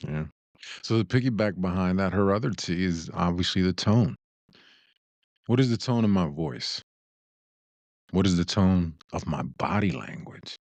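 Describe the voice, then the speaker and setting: Very deep-voiced man, podcast co-host. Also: animated, moderate pace, pauses often, darkish tone, no room sound. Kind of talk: casual discussion of relationship advice.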